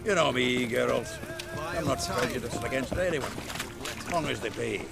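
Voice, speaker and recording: An actor playing a gruff, jovial, foul-mouthed dwarf in a video game, talking bluntly and heartily. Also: male voice, mid-range voice, normal speed, close to an everyday voice. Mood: jovial banter. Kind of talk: video game character acting.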